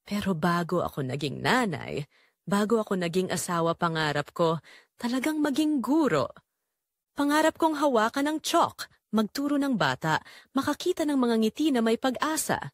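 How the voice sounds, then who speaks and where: A woman's voice: a little high, sing-song, moderate pace, no echo, clean studio sound. Woman acting wistful Filipina mother, swooping intonation. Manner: wistful, emotive, addressing listeners directly. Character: weary, neglected middle-aged Filipina mother, quietly sorrowful. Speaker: narrator and main character of an audio drama. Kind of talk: first-person dramatic confessional storytelling.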